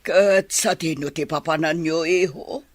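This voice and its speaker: A woman's voice: low-pitched, unhurried. An actress with an Ilocano Filipino accent playing a disapproving, worried mother of a grown son in a radio drama. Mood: questioning, worried.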